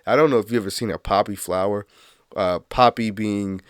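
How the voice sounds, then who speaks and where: A man's voice: on the low side, fairly quick, no room sound, clean studio sound. A man with a stuffy, congested nose rambling through a casual solo monologue, laughing at his own jokes. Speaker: podcast host.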